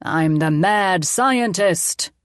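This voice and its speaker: A woman's voice: lowish voice, expressive, clear, resonant tone, no echo. Lady doing a goofy mad scientist guy, drags every word out all menacing, storybook villain, really dry sound. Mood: mock threatening. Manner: slow, hammy, drawn-out menace. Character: playful man pretending to be a menacing mad scientist.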